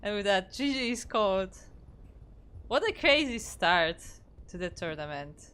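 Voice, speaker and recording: A woman's voice: mid-range voice, big pitch swings, measured pace, fairly bright tone, clean tone. Polish-accented woman casting a game stream. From Poland. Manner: chatty, thinks aloud with restarts, reads out chat. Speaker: esports caster. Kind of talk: solo game commentary on a stream.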